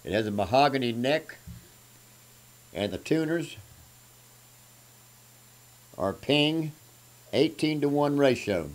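A man, talking casually and unhurriedly in short folksy fragments as he pitches something for auction. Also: expressive, slow pace, long pauses. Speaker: musician presenting a guitar for a charity auction. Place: small indoor room at home. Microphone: microphone on a stand at guitar height. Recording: acoustic guitar playing around the voice. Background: acoustic guitar.

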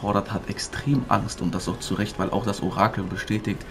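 A man's voice: on the low side, steady tone, brisk, vocal fry, music in the background. A man doing walkthrough commentary as a gaming video creator, explaining things step by step to viewers. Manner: explanatory, addresses viewers as friends.

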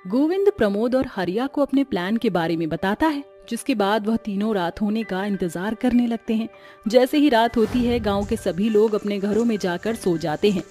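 A woman's voice: mid-range voice, normal speed, dark tone, soft background music. A woman narrating a scripted story as its storyteller.

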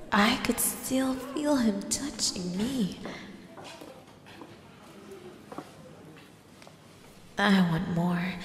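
A woman voicing a possessive, lovestruck teenage schoolgirl in an ASMR roleplay, breathy and often dropping into whispers. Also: medium pitch, a little mellow. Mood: obsessed longing. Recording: echoey, long reverb, music behind.

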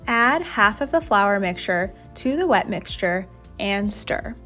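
Young woman talking thru recipes, chatty and a bit self-deprecating, soft music behind. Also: medium pitch, lively intonation, slow pace. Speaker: cooking vlogger. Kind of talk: casual cooking voice-over. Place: home kitchen.

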